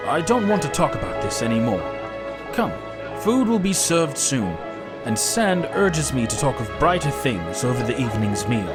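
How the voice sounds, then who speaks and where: A man's voice: mid-range voice, very animated, normal speed, natural voice. A man playing an earnest, courteous commander in a fantasy audio drama. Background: loud music.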